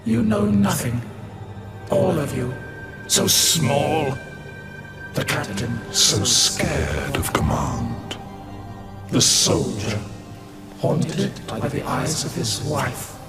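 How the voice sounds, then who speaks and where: Ancient gloating beast, very slow, contemptuous, widely varied intonation, loud music behind. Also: male voice, on the high side, very animated, exaggerated voice. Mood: scornful. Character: ancient, all-knowing evil beast, scornful and gloating. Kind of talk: science fiction television drama.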